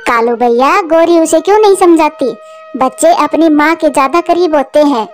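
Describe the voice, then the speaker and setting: A woman plays an ageing cartoon mother bird with a high voice. She is soft-hearted and worried, and pleads earnestly with those around her. Music plays underneath. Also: moderate pace, natural voice. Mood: gentle concern. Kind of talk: Hindi cartoon voice acting.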